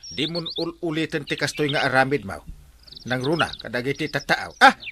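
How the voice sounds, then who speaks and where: Animated, exasperated Ilocano village captain played by a man. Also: mid-range voice, fairly quick, noisy background, close to an everyday voice. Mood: scolding, exasperated. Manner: scolding, sputtering interjections, stammers. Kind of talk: Ilocano radio comedy drama acting.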